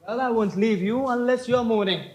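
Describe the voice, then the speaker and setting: Guy acting as lovestruck cheeky young gent, really high voice, echoey stage play. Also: moderate pace. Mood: teasing, flippant. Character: flippant, lovestruck young gentleman wooing a girl. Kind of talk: amateur stage comedy acting. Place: indoor theatre stage.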